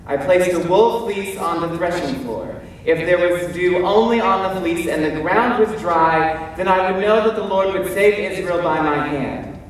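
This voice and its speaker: Man acting high-voiced Gideon in an echoing hall. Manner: theatrical, emphatic, with deliberate pauses and hesitant stammers. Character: Gideon, fearful, self-doubting biblical warrior telling his story. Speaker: stage actor. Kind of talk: dramatic stage monologue. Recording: distant mic in echoey hall, faint noise.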